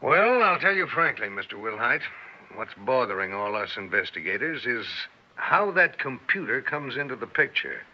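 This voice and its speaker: A probing, skeptical state police detective in a vintage radio play. He questions bluntly and directly, his pitch moving up and down with each point. The sound is a dull, narrow old broadcast recording. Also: sing-song, moderate pace. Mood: impatient, probing. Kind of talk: old-time radio drama acting.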